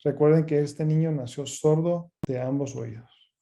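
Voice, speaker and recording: A man lectures as a medical specialist in a very soft, dark, mellow voice. He explains things step by step, often pausing halfway through a phrase. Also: on the high side, steady tone, creaky. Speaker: ENT specialist doctor presenting a webinar.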